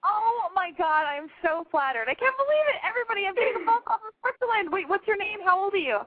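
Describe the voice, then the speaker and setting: A woman's voice: extremely high pitch, animated. A woman in her twenties from Los Angeles, hosting a call-in advice show, frank and gushing. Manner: frank, gushing, talks fast. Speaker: call-in radio advice host. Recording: tinny phone-line sound.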